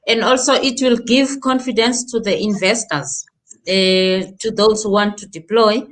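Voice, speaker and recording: A woman answers panel questions at a mid-range pitch. Her pace is measured and hesitant, and she fills her pauses often as she thinks. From South Africa. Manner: measured, hesitant, lots of fillers. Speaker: telecom regulator, panelist.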